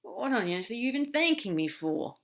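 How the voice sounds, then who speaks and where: A woman's voice: a little high, big pitch swings, moderate pace, creaky, a bit bright, voice pushed up, exaggerated voice. A woman voicing a sly, fond male demon in a fan audiobook reading, with a casual, easygoing manner. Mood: concerned.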